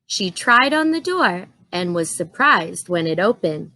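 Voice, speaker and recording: A woman storyteller reading a children's story aloud in an expressive, deliberate way. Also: on the low side, no echo.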